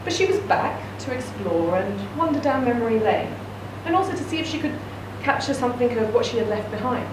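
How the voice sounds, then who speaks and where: A woman's voice: on the high side, animated, a little fast, few pauses. Woman reading a story in an echoey room. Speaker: reader. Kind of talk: reading a short story aloud. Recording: echoey room, distant mic, noisy.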